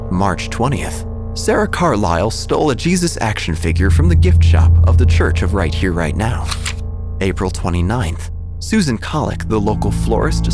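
A man reading a scripted first-person horror story, with deadpan, dryly absurd delivery. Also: lowish voice. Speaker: narrator.

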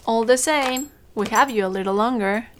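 An actress playing a warm, caring older woman in an audio drama, speaking gently and fondly. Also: fairly high pitch, moderate pace, a little hiss or hum, lightly acted.

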